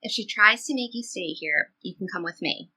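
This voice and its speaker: Woman as loyal teen bestie in an audio play, upbeat n reassuring, bright clear-cut voice. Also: mid-range voice, animated, normal speed, heavily acted. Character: supportive, upbeat seventeen-year-old girl, loyal best friend. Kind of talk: audio play reading, conversational teen dialogue.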